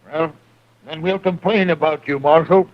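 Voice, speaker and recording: An actor playing a greedy, self-important American hotel owner in an old-time radio western, speaking in a pompous, insistent way. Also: male voice, on the high side, fairly even intonation, normal speed, crowd noise, lightly acted. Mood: indignant, defiant. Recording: muffled vintage radio recording.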